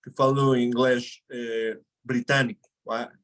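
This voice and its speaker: A man from Mexico, a native Spanish speaker, chats as an interview guest. His voice sits at an ordinary middle pitch. He explains his points patiently, pausing and correcting himself along the way. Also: level tone, a bit bright. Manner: explanatory, thinks aloud with pauses and self-corrections. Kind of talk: casual interview conversation.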